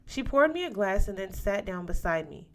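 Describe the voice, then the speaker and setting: A woman reads a story aloud in the first person. Her voice sits at a medium pitch, and the delivery is that of scripted audiobook narration. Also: sing-song, normal speed. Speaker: audiobook reader.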